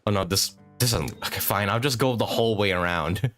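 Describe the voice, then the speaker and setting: A man giving casual, halting and rambling live commentary while playing a video game. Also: lowish voice, a bit bright. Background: video game music.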